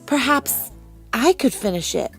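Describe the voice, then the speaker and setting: A woman's voice: a little high, sing-song, unhurried, faint music behind, pitched above the performer's own voice. A woman voicing an earnest, helpful young village girl in a storybook, speaking simply. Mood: hesitant.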